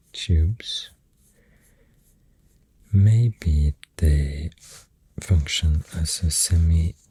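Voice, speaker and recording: Breathy, very low Swedish-accented ASMR voice. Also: dry recording. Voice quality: soft, breathy, whispery. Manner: hushed, hesitant, gentle rambling delivery drifting into whispers. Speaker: ASMR artist, host. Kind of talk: soft-spoken ASMR, rambling facts while drawing. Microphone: close ASMR microphone. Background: pencil writing and clicking sounds.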